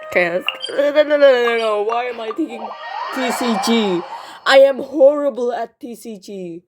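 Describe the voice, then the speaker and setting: A woman giving casual, rambling playthrough commentary, excitable and often repeating her words. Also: a little high, normal speed. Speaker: gaming video creator. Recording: music behind the voice. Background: clear game music.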